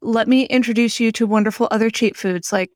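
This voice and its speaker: A woman with a fairly high voice co-hosts a casual podcast. She is articulate and emphatic as she offers practical tips. The recording is close and dry. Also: fairly even intonation, fairly quick, darkish tone, dry recording. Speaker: podcast co-host.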